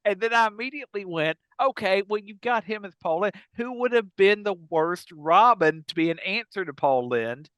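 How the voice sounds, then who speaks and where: Middle aged guy on a comedy podcast, bit high pitched, rambles on, very dry room. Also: lively intonation, normal speed, hardly any pauses, fairly bright tone, smooth, dry recording. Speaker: podcast co-host. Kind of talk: casual comedic chat.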